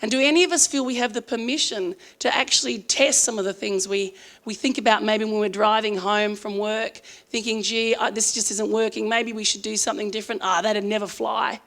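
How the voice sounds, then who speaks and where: A woman's voice: medium pitch, steady tone, fairly quick, a bit bright. A middle-aged Australian woman addresses a conference crowd in a chatty, earnest manner, with frequent ums along the way. Her voice, which sits in a middle register, sounds a little worn from a long sing-along the day before. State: strained voice from singing. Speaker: conference speaker. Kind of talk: conference presentation.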